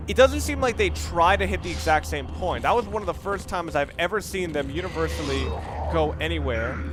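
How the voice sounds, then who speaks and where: A man giving off-the-cuff, rambling walkthrough commentary on a video game, instructive and often correcting himself. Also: on the high side. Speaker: gamer giving a let's play commentary. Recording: noisy, game gunfire behind. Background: video game ambience and gunfire.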